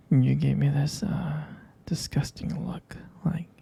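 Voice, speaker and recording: A man playing a gentle, sleepy half-vampire boyfriend in an ASMR roleplay, murmuring soothingly and haltingly in a breathy, husky whisper. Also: on the high side, full character voice. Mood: fond, amused.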